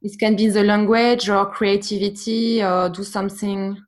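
A woman's voice: mid-range voice, level tone, normal speed. A woman with a French accent, a painting teacher who speaks earnestly and sometimes searches for words. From France. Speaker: art teacher, guest. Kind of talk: casual conversation.

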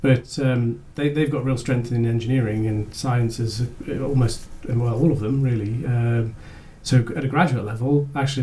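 A man's voice: mid-range voice, dark tone, a little hiss or hum, rough recording. A man hosting a podcast interview who talks in a loose, rambling way, often restarting his sentences. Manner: rambling, self-correcting, with light laughs. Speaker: podcast host. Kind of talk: conversational interview chat.

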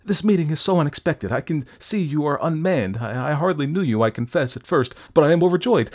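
A man's voice: mid-range voice, very animated, fairly quick, darkish tone, voice pushed up. A man voicing an elderly, pompous London doctor who is nervously genteel, effusive and stammering, in a storybook reading. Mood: startled, flustered.

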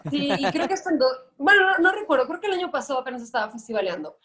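A young adult Mexican woman, an actress guest, speaking in a fairly high voice. She talks in relaxed podcast chat, thoughtful and measured, often starting with small hesitations. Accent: Mexican Spanish. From Guadalajara, Mexico. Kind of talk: casual podcast interview.

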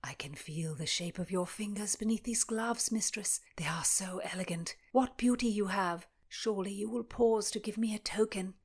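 A woman's voice: fairly low pitch, fairly even intonation, normal speed, hardly any pauses, natural voice. A woman whispering as an ardent young suitor. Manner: hushed, breathy whispering. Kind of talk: audiobook character voice.

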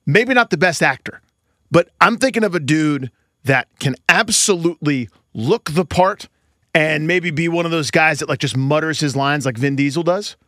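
A man's voice: a little high, normal speed, pushed and bright, dry recording, clean studio sound. A man co-hosting a sports podcast with casual, wry, riffing banter. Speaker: podcast co-host.

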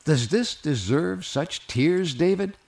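Incredulous young follower, high-voiced. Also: male voice, expressive, takes it slowly, vocal fry, a little mellow, full character voice. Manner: incredulous, questioning. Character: young male follower Joshua, questioning, puzzled, protesting. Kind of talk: dramatized Bible story acting.

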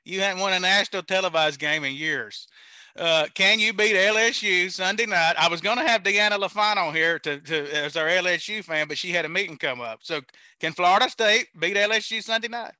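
A man's voice: sits high, fairly quick, pushed and bright, dry recording. A man hosting a casual sports podcast, teasing his guests as he leads the questions. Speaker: podcast host.

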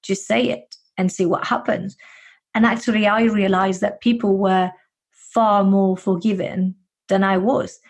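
A woman's voice: mid-range voice, fairly even intonation, measured pace. Encouraging Spanish-accented woman. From Spain. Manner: earnest, encouraging, with frequent fillers. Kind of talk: casual advice chat.

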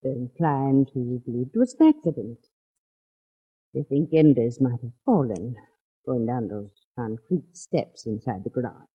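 An actor playing an earnest doctor and family friend who speaks carefully and gravely in 1940s radio drama. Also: male voice, mid-range voice, animated, measured pace. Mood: careful explaining. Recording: muffled old 1940s radio recording.

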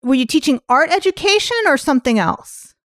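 A woman hosting a podcast interview in a chatty style, jumping between quick asides and her next question. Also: high-pitched, lively intonation, fairly bright tone, no room sound, clean studio sound. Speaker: podcast host. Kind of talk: casual interview chat. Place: New York.

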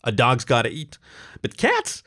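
A man's voice: medium pitch, sing-song, moderate pace, gravelly. A man voicing a nervous, lovestruck dog comedian from a story, with a self-deprecating, stammering, joking delivery. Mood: performing a joke.

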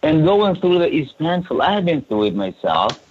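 A man's voice: a little high, sing-song, normal speed, slightly rough, phone-quality sound. A man from Sudan who coordinates refugee support services speaks earnestly in a podcast interview, often restarting and repeating words.

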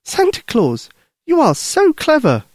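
A man's voice: extremely high pitch, wildly swooping pitch, unhurried, dry recording, studio quality, pitched above the performer's own voice, heavily acted. A man voicing a sweet, eager little girl in a bedtime story, earnest and full of childlike questions. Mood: happy, affectionate.

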